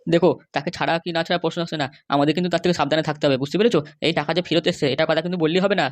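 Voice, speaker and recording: A man's voice: on the high side, fairly even intonation, very fast, close to an everyday voice. A man voicing a henpecked, pleading middle-aged cartoon husband with a West Bengal accent. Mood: earnest. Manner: wheedling, grumbling. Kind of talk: comic cartoon dialogue.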